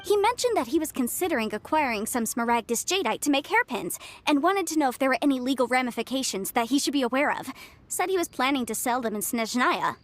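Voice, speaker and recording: A woman's voice: sits high, animated. A woman voicing a talkative, confident young female legal advisor in a video game, with a breezy, businesslike manner. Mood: thoughtful, brisk. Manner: talkative, brisk, polished, breezy. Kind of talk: video game character acting.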